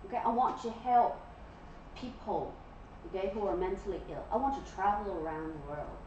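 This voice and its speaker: A woman's voice: mid-range voice, expressive, moderate pace, noisy background. A woman in her forties giving an interactive classroom lecture and often checking in with "okay?" and "yeah?". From Malaysia. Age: 40s. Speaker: guest lecturer. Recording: echoey classroom from far off, dull.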